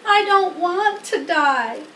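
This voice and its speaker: Woman voicing an anxious, high-pitched little boy pig. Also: lively intonation, slow, sharp tone, full character voice. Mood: fearful, sad. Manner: pleading, earnest, full of questions. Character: anxious, eager, childlike young male pig. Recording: roomy, distant mic, faint background noise.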